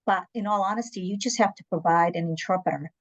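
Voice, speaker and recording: A woman's voice: fairly low pitch, fast talker. Candid woman, Portuguese first language. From Angola and South Africa. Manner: candid, anecdotal, emphatic asides. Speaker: interpreter services guest. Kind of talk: conversational panel talk.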